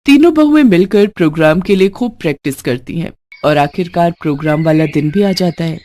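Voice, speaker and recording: A woman's voice: fairly low pitch, lively intonation, brisk, a little mellow. A woman narrator reading a scripted domestic tale in a storytelling style.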